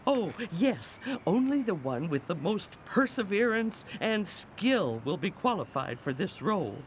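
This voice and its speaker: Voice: medium pitch, sing-song, unhurried, a little hiss or hum. A performer voicing a prim, anxious middle-aged woman who teaches driving in a cartoon video game, proper and fretful. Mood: polite, earnest.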